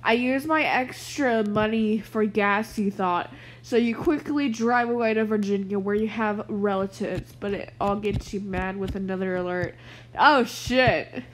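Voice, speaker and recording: A woman's voice: mid-range voice, a little slow. A woman giving a casual, sweary reaction commentary and reading text aloud, sounding sniffly with a cold. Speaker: reaction video host.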